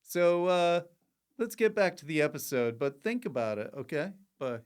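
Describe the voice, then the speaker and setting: A man's voice: fairly high pitch, sing-song, moderate pace, clear, resonant tone. Casual male podcast host, joking. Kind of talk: casual comic interview chat.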